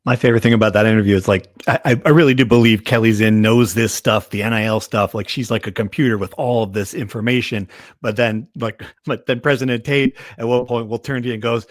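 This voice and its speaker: A man's voice: medium pitch, fairly even intonation, quick pace, no room sound, clean studio sound. A man bantering casually as a co-host on a sports podcast.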